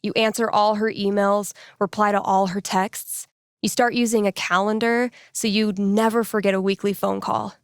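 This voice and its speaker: A woman's voice: medium pitch, flat delivery, moderate pace, clean tone, no room sound, clean studio sound, lightly acted. An actress playing a candid young woman radio DJ in a video game, speaking in a natural, earnest, conversational way with wry humor. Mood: reflective, wry.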